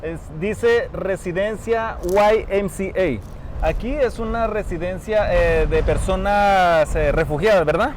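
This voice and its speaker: A man's voice: high-pitched, slow. A man hosting a casual street interview outdoors, chatty and laughing often as he asks friendly questions. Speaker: vlogger host. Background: faint traffic noise.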